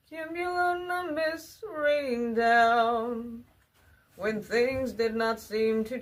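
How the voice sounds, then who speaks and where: A woman telling a children's bedtime story in a drawn-out, singsong delivery. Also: a little high.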